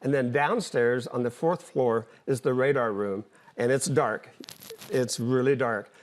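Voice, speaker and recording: An older man, an interview guest, explaining things conversationally in a plain, halting way with repeated words. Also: mid-range voice. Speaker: interview guest, former air traffic controller and pilot.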